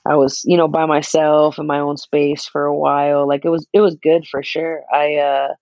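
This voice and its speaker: A young man with a naturally raspy voice, chatting casually as a podcast co-host, laid-back and quick to laugh. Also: on the high side, flat delivery, a little mellow, no room sound, muffled recording. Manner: laid-back, laughs often, many fillers. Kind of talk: casual chat.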